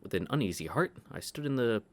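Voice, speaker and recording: A man's voice: medium pitch, sing-song, fast. A man reading a visual novel aloud in a casual read-through, joking and swearing between lines. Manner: reads narration aloud, jokes and swears. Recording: voice over game music.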